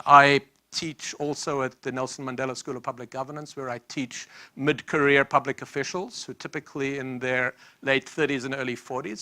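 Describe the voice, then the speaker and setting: Bright-voiced older South African man lecturing. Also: medium pitch. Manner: measured, restarts mid-phrase, data-heavy. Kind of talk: academic panel talk.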